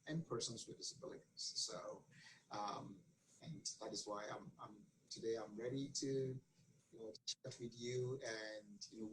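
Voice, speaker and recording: Guy, lowish voice, earnest, lots of ums, bit of hiss behind. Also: fairly bright tone, rough recording. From Ghana. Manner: earnest, many fillers and restarts. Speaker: guest. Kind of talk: interview answers.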